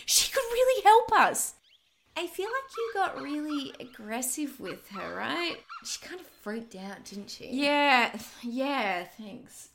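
Woman doing scared young woman, high voice, swoops up and down a lot, slow. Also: very animated, creaky, background noise, full character voice. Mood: confused. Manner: panicky, pleading. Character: frightened young woman caught up in a crime.

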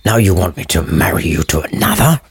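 A man voicing a blunt, weary old friar in a storybook retelling, scolding slowly and crudely. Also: low voice, expressive, husky, exaggerated voice.

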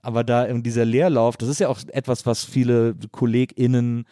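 A man's voice: medium pitch, flat delivery, brisk, warm tone, dry recording, clean studio sound. A man hosting a casual conversational interview, speaking informally and reflectively, feeling his way through sentences. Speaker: podcast host.

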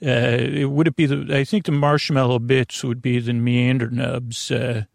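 A man hosting a bedtime podcast in a creaky voice, meandering hesitantly and often repeating and correcting himself. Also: medium pitch, level tone, normal speed, no room sound, clean studio sound. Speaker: podcast host. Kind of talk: rambling bedtime sleep podcast monologue.

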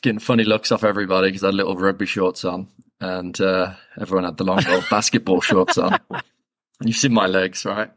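Relaxed man, low voice. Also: fairly quick. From England. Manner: relaxed, chatty, self-deprecating. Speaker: guest. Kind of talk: casual conversational storytelling.

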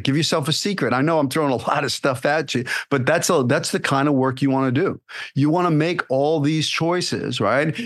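An acting coach talks straight at his listeners, blunt and animated, tossing out rhetorical questions. His voice sits in a middling register for a man, and his talk is loose and casual, full of fillers and restarts. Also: medium pitch. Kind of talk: solo podcast advice.

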